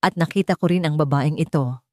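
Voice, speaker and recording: A woman's voice: sits low, brisk, warm tone, no room sound, clean studio sound, lightly acted. A woman voicing a stern elderly grandmother in an audio story, speaking with emphatic, accusing conviction. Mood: indignant, accusing.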